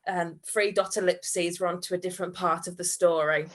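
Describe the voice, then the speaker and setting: A woman's voice: lowish voice, steady tone, moderate pace. A woman reading comic fan fiction aloud in a hammy, giggly narrating manner. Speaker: reader at a comedy fundraiser stream.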